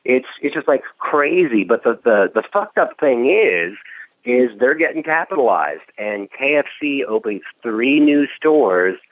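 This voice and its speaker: A man's voice: medium pitch, measured pace, no echo. A man from the Midwest, a comedian telling stories on a radio talk show, acting out the people he quotes. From Midwest US, outside Chicago. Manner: animated storytelling, acts out quotes. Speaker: comedian guest. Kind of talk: casual radio chat. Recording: tinny phone-like sound.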